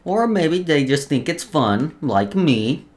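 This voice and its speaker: A man's voice: on the high side, big pitch swings, slow. A man doing casual let's play commentary, reading game lore aloud with stumbles, informal swearing and joking asides. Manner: stumbles over read text, joking asides. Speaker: let's player.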